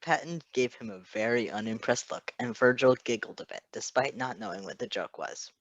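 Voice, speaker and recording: A man's voice: medium pitch, normal speed, no room sound, dull audio. A man reading a piece of fiction aloud as narrator, in scripted story narration. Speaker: fan fiction reader.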